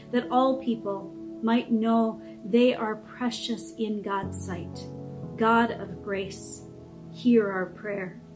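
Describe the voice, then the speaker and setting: Slow, reverent woman leading prayers. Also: a little high. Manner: slow, measured, reverent with repeated responses. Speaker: prayer leader. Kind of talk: reading liturgical prayers. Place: home room with brick wall.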